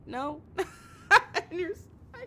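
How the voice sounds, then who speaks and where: A woman playing a heartbroken, obsessive young woman in an intimate audio roleplay, pleading over the phone in halting, stammered, repeated phrases. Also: expressive, full character voice. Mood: tearful, desperate. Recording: noisy recording with low rumble.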